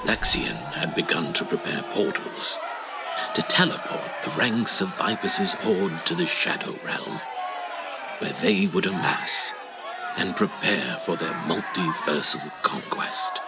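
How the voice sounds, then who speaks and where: A man's voice: deep voice, sing-song, unhurried, vocal fry, over a noisy crowd, very noisy, exaggerated voice. An actor playing an old male archivist who tells war history in a theatrical, conversational storytelling style for an audio drama. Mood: wry explaining.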